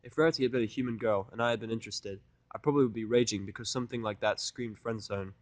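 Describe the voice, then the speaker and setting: A man's voice: fairly low pitch, fast talker, no echo. A man reading a first-person fan fiction audiodrama in a conversational, blunt, sardonic and profane manner. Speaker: fan fiction reader and narrator.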